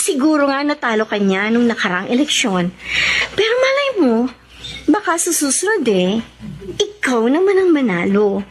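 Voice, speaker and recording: A soft-hearted young Filipina escort in a radio drama. Her high voice moves slowly, with big swings in pitch. She comes across as coaxing and unsure of herself. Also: big pitch swings, slight background noise. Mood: soothing, coaxing.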